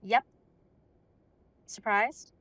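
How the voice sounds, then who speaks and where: A woman's voice: drawn-out words, fairly bright tone, no echo. A woman playing a polite, businesslike female werewolf receptionist at a council chamber.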